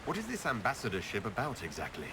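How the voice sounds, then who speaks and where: A man playing a courteous elf leader in a video game, measured and inquiring. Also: on the low side, huge pitch swings. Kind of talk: video game character dialogue. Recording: clear background noise and ambience.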